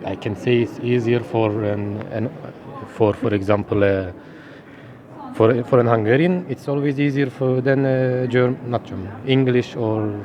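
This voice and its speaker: A man in his thirties from Turkey, speaking English with a Turkish accent as an interview guest, halting and searching for words. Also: mid-range voice. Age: 30s. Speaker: linguist guest. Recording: outdoor crowd chatter behind.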